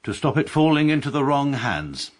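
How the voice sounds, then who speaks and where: An actor playing a formal, deadpan British barrister who narrates in a radio drama. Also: male voice, medium pitch, normal speed, lightly acted. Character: stiff, precise English barrister narrator, middle-aged man.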